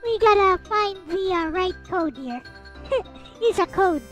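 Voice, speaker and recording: Very high, girlish-voiced woman streamer. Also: expressive, measured pace. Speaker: streamer, host. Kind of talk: reading a visual novel aloud with casual commentary. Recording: music behind the voice.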